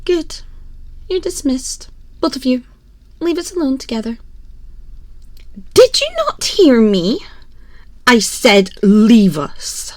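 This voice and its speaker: Woman acting a slow-spoken, haughty, cruel empress. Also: high-pitched, big pitch swings, no echo, heavily acted. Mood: commanding. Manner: imperious, condescending, theatrical formal diction. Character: haughty, cruel, mocking empress toying with a prisoner. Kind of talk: ASMR roleplay acting. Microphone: close binaural microphone, dry.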